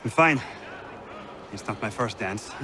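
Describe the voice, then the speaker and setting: An actor playing a feisty, defiant, hot-blooded brawler with a boastful, colorful way of talking in a video game. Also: male voice, fairly low pitch, animated, measured pace. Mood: cocky, jovial.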